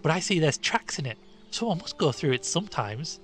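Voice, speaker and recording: A man's voice: a little high, sing-song, brisk, a bit bright, pitched above the performer's own voice. An earnest, resourceful schoolboy scout, voiced by a male reader. He sounds raised and careful. Music sits faintly behind. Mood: cautious.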